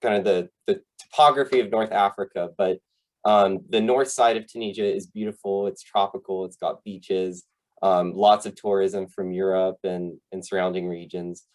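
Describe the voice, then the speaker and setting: A man with a fairly low voice gives an informal professional talk online. He speaks politely and conversationally, with a somewhat hesitant delivery, like a psychologist presenting to colleagues. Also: fairly even intonation, normal speed. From United States, Tennessee.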